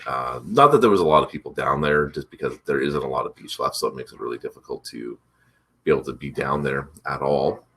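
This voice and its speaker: A man hosting a casual morning chat, rambling with many fillers, his voice congested and a bit rough from allergies. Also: rumbling low, a little fast, sharp tone, slight background noise. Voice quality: congested, slightly rough throat. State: stuffed up from allergies. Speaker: vlog host.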